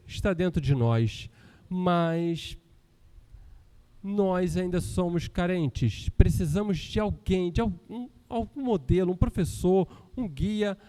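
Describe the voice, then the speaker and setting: A man's voice: sits high, dark tone. A man from Rio de giving a conversational spiritist lecture and often asking the audience rhetorical questions. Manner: conversational, asks rhetorical questions with tag "não é?". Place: lecture hall of a spiritist center. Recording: long hall reverb.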